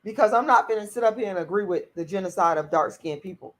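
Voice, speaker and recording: A woman's voice: mid-range voice, animated, fast talker. A woman hosts a livestream, reading viewer comments and answering them bluntly and profanely, repeating herself often. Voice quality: raspy voice. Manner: outspoken.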